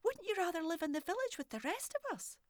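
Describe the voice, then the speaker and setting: A woman's voice: extremely high pitch, big pitch swings, fast, no echo, studio quality, voice pushed up. A woman voicing a scared, eager little girl in a storybook.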